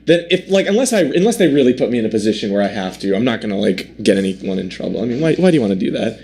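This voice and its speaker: A man's voice: mid-range voice, very animated, very fast, darkish tone, slightly rough. Young man chatting on a comedy podcast, voice swinging up and down a lot. He laughs readily and wanders through his thoughts mid-sentence. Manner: reactive, laughs easily, rambling. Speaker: podcast co-host. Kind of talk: casual comedy chat.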